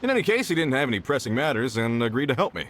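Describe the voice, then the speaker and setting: A man's voice: mid-range voice, very animated, racing, very noisy, natural voice. A man voicing an older mining foreman, boastful yet respectful and enthusiastic, in a video game. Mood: explaining. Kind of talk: video game dialogue acting.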